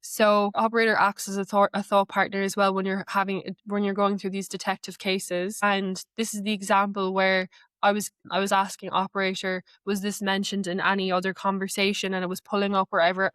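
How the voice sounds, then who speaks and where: Woman talking through software, dry close sound. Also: medium pitch, little pitch movement, brisk, clean tone, dry recording. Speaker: guest, AI knowledge manager. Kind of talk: conversational software walkthrough.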